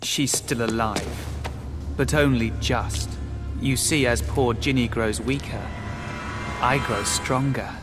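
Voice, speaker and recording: An actor playing a cold young dark wizard in a video game, smug and taunting. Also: male voice, medium pitch, slow pace, natural voice. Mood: smug menace. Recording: loud music behind the voice.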